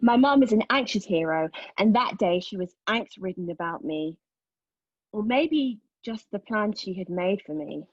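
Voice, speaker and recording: Husky, anguished pregnant woman, played by an actress. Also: mid-range voice, expressive, dry recording. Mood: pained, reflective. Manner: intense, deliberate, emotionally exposed delivery. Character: anguished, bitter, sardonic pregnant woman telling her story. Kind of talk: dramatic monologue at a table read. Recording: slightly dull, lo-fi sound.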